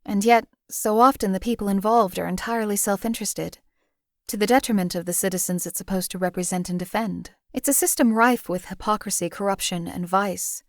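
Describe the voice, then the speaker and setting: An actress voicing a cold, detached female hired assassin for a game, speaking in a measured, formal, deadpan way. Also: mid-range voice, no echo, studio quality, natural voice. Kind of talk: game companion voice lines.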